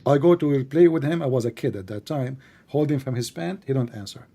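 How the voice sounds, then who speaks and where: Dark-voiced man, teasing debater. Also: mid-range voice, fairly quick, vocal fry. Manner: teasing, sarcastic, questioning in plain broken English. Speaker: debate host. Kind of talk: live online debate, conversational.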